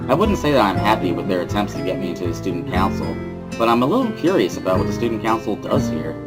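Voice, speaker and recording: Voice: sits low, big pitch swings, fast, over loud music. A reader playing a wary teenage schoolboy who narrates his own story in a dry, mildly sardonic way, as a visual novel dramatic reading. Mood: reflective.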